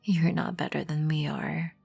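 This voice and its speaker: Woman playing a slow, husky, regal vampire queen. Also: low-pitched, fairly even intonation, a little mellow, exaggerated voice. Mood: stern, indignant. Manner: imperious, measured, formal. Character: regal, composed, proud vampire queen, protective of her colony. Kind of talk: ASMR roleplay, scripted second-person acting. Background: background music.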